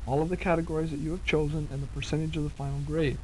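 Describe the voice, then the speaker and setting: Male instructional narrator, slightly high voice, methodical step-by-step delivery, faintly noisy vintage 1970s cassette sound. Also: little pitch movement, rapid-fire.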